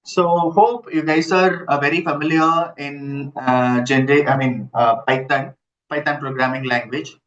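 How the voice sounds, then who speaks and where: A man giving a live online lecture, halting and repeating himself as he checks in with listeners, using his computer's built-in mic. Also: fairly high pitch, fairly even intonation, a bit bright. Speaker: instructor. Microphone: built-in computer mic, no headset. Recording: dull lo-fi computer audio.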